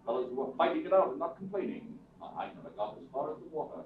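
An actor in a radio theatre show playing a courteous, dignified butler with a wry streak and measured, formal speech. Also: male voice, mid-range voice, big pitch swings, close to an everyday voice. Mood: reflective. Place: theatre stage before an audience. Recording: distant echoey stage, tinny, faint noise.

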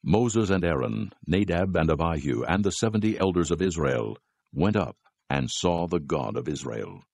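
A man narrating scripture from a script in a measured, solemn way. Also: sits low, lively intonation, creaky, darkish tone, breathy edge, no echo.

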